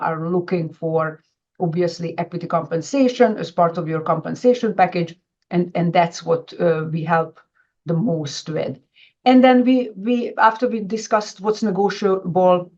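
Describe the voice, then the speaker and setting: A middle-aged woman with a Hungarian accent, presenting an informal webinar as a financial advisor, halting and rephrasing as she explains. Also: fairly low pitch, a little mellow. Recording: dull, lo-fi webinar audio.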